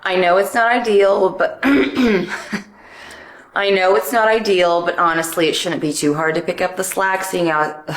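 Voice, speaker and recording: A woman with a hoarse voice, chatting off-script and laughing at her own struggling voice. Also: fairly low pitch, fairly even intonation, measured pace, reverberant, low-quality recording. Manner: laughing, self-deprecating. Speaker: sketch creator.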